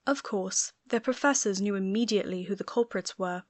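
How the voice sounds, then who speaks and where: A woman's voice: medium pitch, brisk, no echo. A woman reading scripted story narration as an audiobook narrator.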